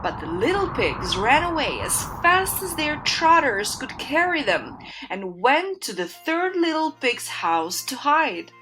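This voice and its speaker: A woman narrating a children's fairytale in an expressive storytelling manner. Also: sits high, a little slow, over music, rough recording.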